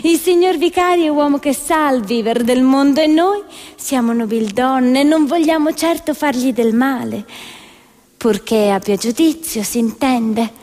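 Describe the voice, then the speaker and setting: A woman's voice: high voice, a little slow, darkish tone, long reverb tail. A woman playing bold noblewomen in a stage satire who threaten firmly with mock politeness. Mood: mock-polite. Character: bold noblewomen menacing a vicar with icy courtesy.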